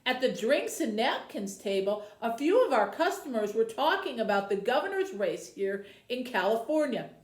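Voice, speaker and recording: A woman with a high voice works as a library host for young viewers. Her pitch moves up and down in an expressive storytelling style. The room adds noticeable echo around her words. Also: moderate pace, hardly any pauses. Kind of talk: reading a children's novel aloud, then addressing young viewers. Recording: roomy, echoey indoor sound.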